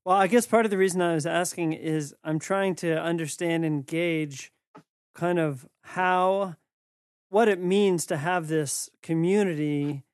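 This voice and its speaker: Male host, slightly high voice, thoughtful questioning, dry room. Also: measured pace, no room sound. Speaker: interviewer. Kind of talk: casual interview conversation.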